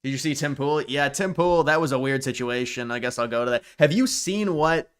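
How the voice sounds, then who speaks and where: A man's voice: mid-range voice, fairly quick, clear, resonant tone. A man hosting a live stream, commenting casually on clips and often stopping to restart and repeat words.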